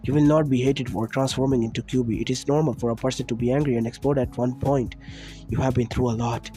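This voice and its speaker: A man voicing a loving mother in a read-aloud fan story, speaking softly and reassuringly. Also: mid-range voice, fairly even intonation, quick pace, soft background music, close to an everyday voice. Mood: soothing. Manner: soft, hushing, reassuring. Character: loving mother, soothing and protective.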